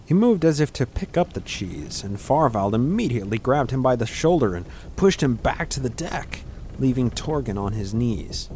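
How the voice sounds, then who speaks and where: A man narrates a fantasy tale as a scripted audiobook. His voice is medium in pitch and his delivery is dramatic, with clear ambient noise behind it. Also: sing-song, normal speed, darkish tone.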